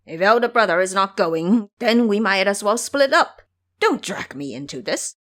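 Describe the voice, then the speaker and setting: A woman's voice: mid-range voice, lively intonation, moderate pace, fairly bright tone, lightly acted. A woman voicing a foolish, lazy male pig monk in an audio drama, complaining and blustering. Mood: grumbling.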